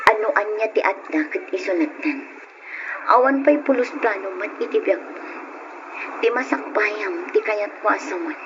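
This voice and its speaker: A practical young Filipino woman played by an actress in a radio drama, with a medium-pitched voice. She is a girlfriend who wants more from life, heard through a muffled recording with plenty of noise. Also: moderate pace, close to an everyday voice. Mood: serious, disappointed. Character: practical young woman dissatisfied with her poor boyfriend.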